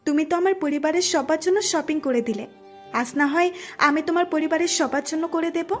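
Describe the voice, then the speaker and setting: A woman playing an affectionate, self-assured young girlfriend in an audio story, coaxing yet gently firm. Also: sits high, fairly quick, soft background music, natural voice. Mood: cheerful coaxing. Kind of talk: Bengali audio story acting.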